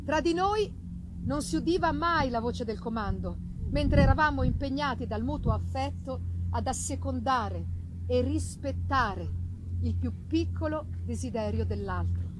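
A woman reads a gothic novel as dramatic literary narration, in measured, solemn, theatrical delivery, with live musical accompaniment. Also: high-pitched, animated, background noise. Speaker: reader performing a literary audiobook.

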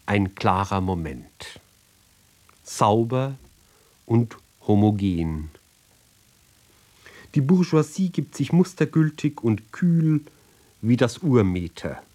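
A man giving a measured literary recitation for radio, speaking deliberately in short phrases with pauses between them. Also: mid-range voice, lively intonation, mellow. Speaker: reader.

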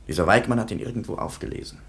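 A man's voice: lowish voice, rapid-fire. An actor playing a perplexed, somewhat dismissive police commissioner giving his report in a radio play. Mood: bemused, recounting. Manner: animated storytelling, dismissive.

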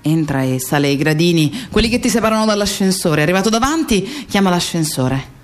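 A woman's voice: lowish voice, sing-song, fairly quick. A woman recalling a lost friend, conversational. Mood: recounting. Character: woman remembering her murdered friend's last evening. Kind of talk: radio theatre monologue, intimate recollection.

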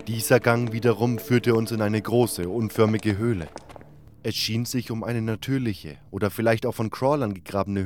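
A man narrating a fantasy audio drama from a script as a first-person storyteller. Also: on the low side. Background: prominent music.

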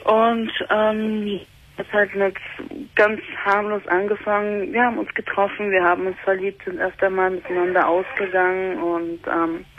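A woman's voice: mid-range voice, little pitch movement, normal speed, a little hiss or hum. Twenty-something woman from on a call-in show, hesitant, lots of ums, tinny phone sound. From Germany. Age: 20s. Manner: hesitant, many fillers, earnest. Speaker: caller. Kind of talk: personal call-in conversation. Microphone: telephone. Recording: phone line on radio.

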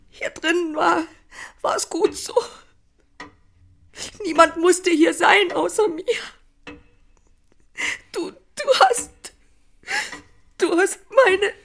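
A woman acting a blunt, earthy, solitary farmer in a naturalistic radio drama, with a husky voice. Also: extremely high pitch, a bit bright, heavily acted. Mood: hurt, upset.

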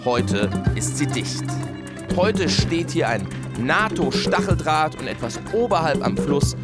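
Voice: medium pitch, very animated, slow pace, loud music behind the voice. A performer playing a radio presenter who guides listeners through border country in scripted narration. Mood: guiding. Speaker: radio host.

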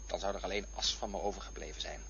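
A man's voice: sits low, fairly even intonation, rapid-fire, gravelly, fairly bright tone, husky, full character voice. An actor playing a boastful, defensive man in a radio play, insistent and taunting as he repeats himself. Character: boastful, defensive man arguing over his boyhood memories. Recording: dull lo-fi radio play recording.

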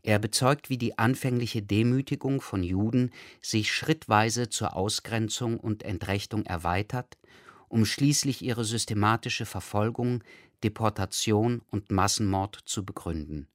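Male radio narrator, low register, factual and sober, dry studio sound. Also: a little slow, dry recording. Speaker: announcer introducing a radio play. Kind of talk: scripted narration.

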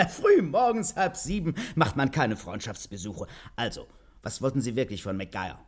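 An actor playing a smug, sardonic police commissioner in a 1950s radio play, officious and mocking. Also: male voice, medium pitch, animated, moderate pace, lightly acted. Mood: mocking, amused. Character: sardonic male police commissioner, smugly unimpressed interrogator.

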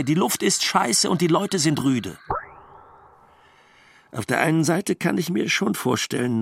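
Gravelly, earthy, weary husband. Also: male voice, mid-range voice, fairly bright tone. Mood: fed up. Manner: blunt, colloquial. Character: earthy, weary expatriate husband dreaming of home. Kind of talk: radio documentary play monologue.

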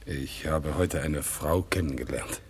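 An actor playing a proud, dutiful one-legged war veteran who works as an organ grinder, speaking in a solemn, deferential way in a radio play. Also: male voice, rumbling low, unhurried, breathy edge, full character voice. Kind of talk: 1960s radio play acting. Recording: faint music behind, older radio play recording.

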